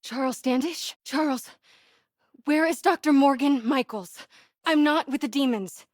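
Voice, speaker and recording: A woman playing a determined, brave young reporter in a video game, breathy and often hushed. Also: high-pitched, a little slow, no echo, clean studio sound. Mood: urgent, insistent. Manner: hushed, often whispering to herself.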